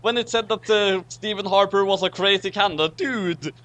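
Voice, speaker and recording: A man's voice: sits high, moderate pace, sharp tone, a little hiss or hum. A man reading a story aloud theatrically and chatting casually with friends. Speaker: co-host reading fan fiction aloud.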